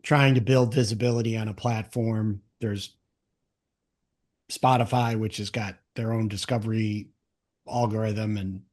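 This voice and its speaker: A man's voice: fairly low pitch, fairly even intonation, a little slow, a little mellow. A man hosting an interview podcast in a casual chat style, thinking aloud in loose, rambling sentences.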